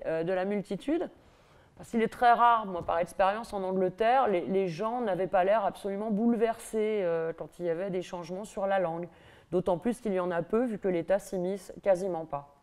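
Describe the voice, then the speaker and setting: Middle-aged Parisian-accented woman lecturer; lively, ironic delivery at a lectern. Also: medium pitch. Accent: Parisian French. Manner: lively, ironic, mimics attitudes with asides. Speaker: university lecturer. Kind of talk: public university lecture. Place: large lecture hall, at a lectern on stage.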